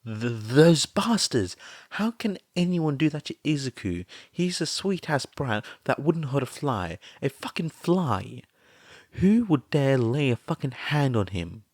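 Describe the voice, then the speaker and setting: A man voicing a brash, foul-mouthed blonde mother who yells and curses in a rage, raised high above his usual voice, in a dry recording. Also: very animated, a little slow, no room sound. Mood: enraged. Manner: loud cursing rant.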